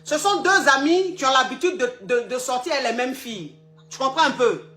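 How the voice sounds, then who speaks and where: A woman's voice: high-pitched, lively intonation, normal speed, fairly bright tone, soft background music. A woman hosting a live advice call, blunt and repetitive, calling the caller "ma chérie". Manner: blunt, calls caller "ma chérie", repeats herself. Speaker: advice show host.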